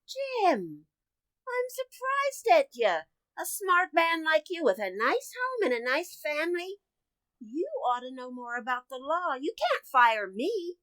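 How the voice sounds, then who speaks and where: A woman's voice: high-pitched, very animated, measured pace, a bit bright, dry recording, heavily acted, poor audio. A woman playing a brash, cheerful, stubborn housekeeper in a radio comedy, with blunt, slangy, folksy speech. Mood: unbothered, stubborn. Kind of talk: old-time radio comedy acting.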